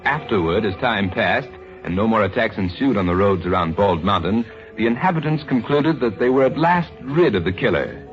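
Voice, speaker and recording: A man's voice: on the low side, very animated, moderate pace, hardly any pauses. A man narrating a scripted old-time radio drama in a grave, theatrical manner. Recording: dull lo-fi old radio recording, music. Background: dramatic music.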